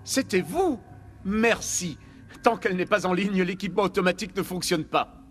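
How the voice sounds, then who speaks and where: Guy acting a troubled scientist man, kinda high voice, defensive, formal, game scene with music. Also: normal speed, natural voice. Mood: distracted, guarded. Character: guarded, troubled male research scientist. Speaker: doctor, scientist. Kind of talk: video game dialogue.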